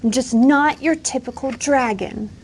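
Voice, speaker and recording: A small, gentle boy dragon from a storybook, speaking softly and a little sadly, voiced by a woman reading aloud. He sounds unsure and wistful, never fierce. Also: high voice, a little slow, slight background noise, pitched above the performer's own voice. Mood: plaintive. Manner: soft, plaintive. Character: small gentle young male dragon, timid and sad.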